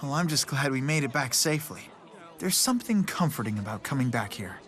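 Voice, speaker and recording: A man's voice: medium pitch, expressive, fairly quick, fairly bright tone, a faint crowd, close to an everyday voice. An actor playing a polite, thoughtful, easily fascinated young man in a video game. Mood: warm reminiscence.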